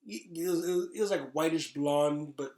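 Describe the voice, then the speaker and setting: A man's voice: fairly high pitch, moderate pace, a little mellow. A man talking casually and informally as a podcast host, giving blunt opinions with some swearing. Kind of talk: casual chat about movies. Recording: roomy, echoey sound.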